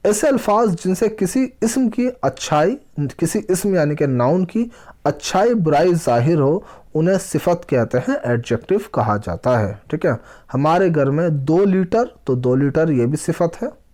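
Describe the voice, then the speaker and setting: A man teaching a children's language lesson, reading aloud and explaining, deliberately pausing and repeating words and phrases. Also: a little high, lively intonation, mellow.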